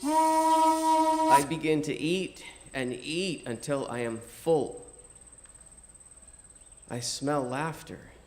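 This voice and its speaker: A man reading a first-person story as narrator in a measured, theatrical, declamatory manner. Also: a little high, sing-song, darkish tone. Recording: music behind the voice. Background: music.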